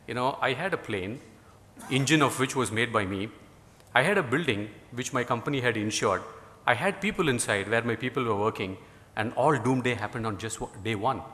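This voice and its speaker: A man's voice: medium pitch, a bit bright. A middle-aged man from India with an Indian English accent, a native Tamil speaker, giving a conference talk in a rambling way with lots of "you know" and dry jokes. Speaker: guest speaker. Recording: hall reverb.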